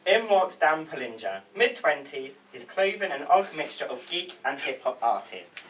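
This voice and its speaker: A man's voice: a little high, big pitch swings, normal speed, a bit bright. A man reading script stage directions aloud, stumbling a little over words. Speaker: stage directions reader at a table read. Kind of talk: read-aloud script narration. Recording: distant mic, faint room noise.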